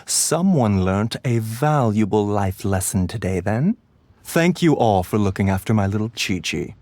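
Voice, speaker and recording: A man playing a genteel, soft-mannered doctor in a video game, courteous and unhurried. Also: lowish voice, expressive.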